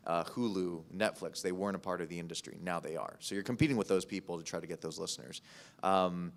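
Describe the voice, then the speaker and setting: A man speaking informally as a podcast producer on a panel, explaining things in a halting, rambling way. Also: low voice.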